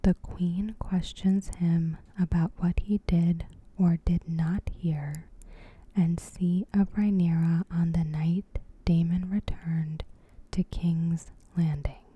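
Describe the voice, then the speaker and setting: A woman softly whispering a scripted recap as an ASMR host, in a gentle, breathy, measured way. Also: lowish voice, fairly even intonation. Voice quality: breathy, whispered soft speech. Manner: gentle, unhurried, evenly measured.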